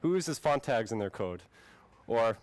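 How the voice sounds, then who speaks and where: A young man born in Finland presents a tech talk in a friendly, relaxed way. His voice is smooth and clear at a middle pitch, and the sound is dry and close to the mic. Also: a little slow, no room sound. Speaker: conference speaker.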